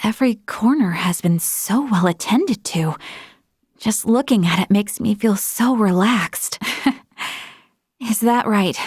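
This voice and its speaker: A woman's voice: mid-range voice, takes it slowly, a little mellow, slightly rough, no echo, clean studio sound. An actress voicing an earnest, modest young woman, a space officer from a prestigious family, in a video game, speaking politely and sincerely. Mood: gentle admiration. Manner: polite, self-effacing, sincere.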